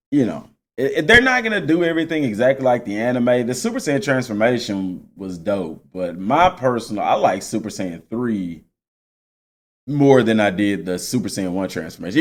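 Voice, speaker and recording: A man giving casual, unscripted gaming commentary, addressing viewers directly and repeating points to make them clear. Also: on the low side, sing-song, moderate pace. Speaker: video game channel host.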